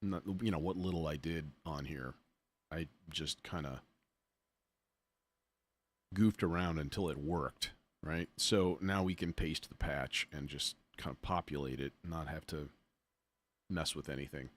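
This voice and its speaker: A man with a low voice talks through a music software demo on a livestream. He is informal and a bit self-deprecating, often muttering to himself as he works things out. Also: steady tone, a little slow, pauses often. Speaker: livestream host, music producer demonstrating software. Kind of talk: casual livestream demo, thinking aloud.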